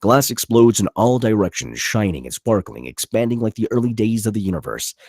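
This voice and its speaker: Husky, animated male script narrator. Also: fairly low pitch, a little fast, dry recording, studio quality. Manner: vivid, punchy reading of action. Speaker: narrator reading stage directions. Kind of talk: dramatic script narration at a table read.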